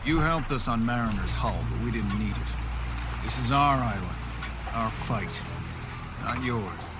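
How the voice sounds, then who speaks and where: A man's voice: mid-range voice, expressive, measured pace, heavy background noise, natural voice. A man acting a blunt, hostile and bitter islander who sells guns in a video game. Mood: scornful, gruff. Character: hot-headed, bitter islander gun seller, a grown man.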